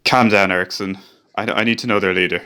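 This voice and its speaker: A man's voice: low-pitched, steady tone, fast, bright, forceful tone, smooth. A man voicing a practical, earnest chief engineer in a radio play who also narrates and soothes the crew. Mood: coaxing. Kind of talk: amateur radio drama acting.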